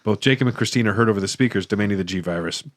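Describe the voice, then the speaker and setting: Lowish-voiced man, very dry sound. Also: very fast, no echo. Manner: joking, breaks off to comment. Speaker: podcast co-host. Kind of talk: reading a plot summary with casual commentary.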